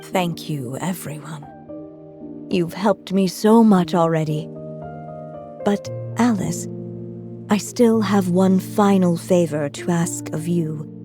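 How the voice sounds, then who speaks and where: Frail old lady writer in a game, solemn n resolute, slow measured talk, music behind. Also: on the low side, mellow. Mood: earnest resolve. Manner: measured, formal, reflective. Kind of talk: scripted video game voice acting.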